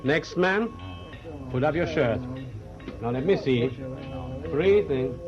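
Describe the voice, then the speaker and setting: Formal ship's doctor, muffled sound. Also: male voice, fairly high pitch, animated, slow. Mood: formal, guarded. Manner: formal, precise, curt. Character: formal, evasive ship's doctor inspecting prisoners. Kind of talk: radio drama acting. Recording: dull lo-fi radio play, music behind.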